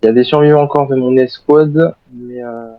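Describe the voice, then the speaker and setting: A man's voice: mid-range voice, fairly even intonation, moderate pace, phone-quality sound, natural voice. A man playing an armed, wary but cooperative soldier survivor in an improvised zombie game roleplay, speaking formally and hesitantly. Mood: explaining, hesitant.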